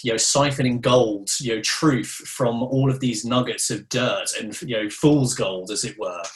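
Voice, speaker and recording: A man's voice: medium pitch, a bit bright. A man from the UK giving a fluent, lecturing talk as a panelist. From United Kingdom. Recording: echoey room.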